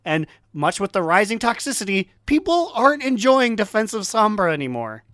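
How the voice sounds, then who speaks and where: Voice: mid-range voice, sing-song, normal speed, a bit bright, dry recording, clean studio sound. A podcast co-host chatting casually about games in a wry, jokey and emphatic way. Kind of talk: casual gaming banter.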